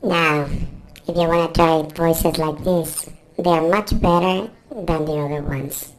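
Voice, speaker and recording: A man's voice: a little high, steady tone, a little slow, voice pushed up, low-quality recording. A man voicing a dismissive female-sounding character through a voice changer.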